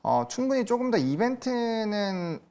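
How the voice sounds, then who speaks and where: Male market analyst, conversational, lively moving pitch, talking through stocks live. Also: measured pace.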